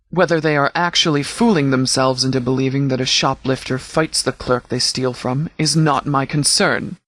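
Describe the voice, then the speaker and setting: Guy acting as a stern militia sheriff from a video game, measured n formal, bit higher male voice, totally dry recording. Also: a bit bright, no room sound. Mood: disapproving, stern. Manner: formal, measured, authoritative wording. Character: stern, calculating male sheriff commanding a post-apocalyptic militia. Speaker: sheriff and faction leader. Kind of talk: video game dialogue, briefing.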